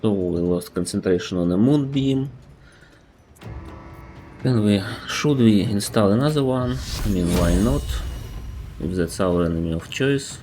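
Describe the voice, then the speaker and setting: A man's voice: on the low side, expressive, measured pace, pauses often, mellow, soft background music. A man with a Russian accent, a native Russian speaker, streaming a game and chatting thoughtfully, often stopping to restart his sentences. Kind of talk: casual gameplay commentary.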